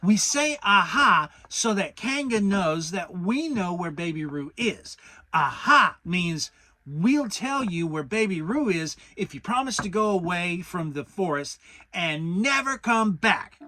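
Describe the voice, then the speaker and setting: A bossy storybook rabbit, acted out by a man, high and loud. He is self-important and easily exasperated, spelling out his points with careful emphasis and big swoops of pitch. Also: animated, faint music behind, exaggerated voice. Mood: scheming, bossy. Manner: emphatic, slow and careful explaining.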